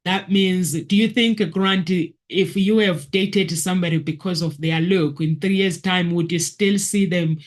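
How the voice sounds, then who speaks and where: High-voiced man, emphatic and repetitive. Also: fairly even intonation, unhurried, few pauses. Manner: emphatic, repeats key words and phrases. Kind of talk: conversational discussion.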